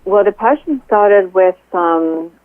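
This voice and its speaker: A middle-aged woman from Greece with a Greek accent, a guest speaking earnestly and haltingly, with many fillers, over the phone. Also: medium pitch, no room sound. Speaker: guest, Reiki healer. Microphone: telephone. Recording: tinny dry phone line.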